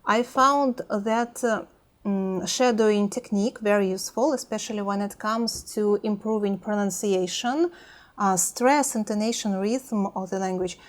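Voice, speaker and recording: A woman with a Russian accent talking in a reflective personal vlog, hesitant, with many pauses and fillers. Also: mid-range voice. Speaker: language-learning vlogger.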